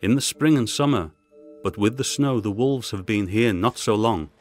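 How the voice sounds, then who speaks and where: A man voicing a fearful, superstitious German coachman who speaks halting, broken English in an audiobook horror story. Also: lowish voice, a little mellow, faint music behind, natural voice. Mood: uneasy.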